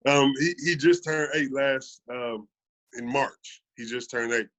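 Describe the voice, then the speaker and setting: This is an American man in his thirties with an average-pitched voice. He speaks as a guest in a casual interview, telling personal stories. His delivery is earnest, and he repeats phrases to make them land. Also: unhurried, a bit bright, rough recording. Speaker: podcast guest. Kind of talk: casual conversational storytelling.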